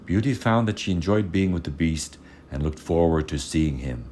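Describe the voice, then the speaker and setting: A man reading a fairy tale aloud as storyteller, measured and expressive in his scripted narration. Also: low-pitched, slight background noise.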